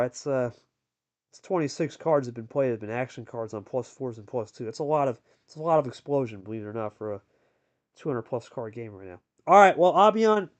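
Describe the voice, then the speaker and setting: A man giving live sports-style commentary on a card game as host, talking colloquially and addressing players like buddies. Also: medium pitch.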